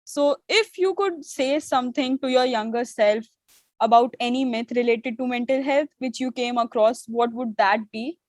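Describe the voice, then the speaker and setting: A woman's voice: fairly high pitch, moderate pace, no echo. A young adult woman whose first language is Hindi, hosting an interview on a video call, affirming and thoughtful with hesitant phrasing. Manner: warm affirming, hesitant phrasing with many fillers. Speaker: host.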